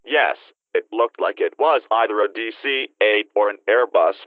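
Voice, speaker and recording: A low-voiced male eyewitness played by an actor gives an uneasy account for a mock news report. The sound is dull and lo-fi, with no room around it. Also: normal speed, dry recording, natural voice. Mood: matter-of-fact. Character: anxious male eyewitness reporting from an attacked bank tower. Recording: dull lo-fi audio, very dry.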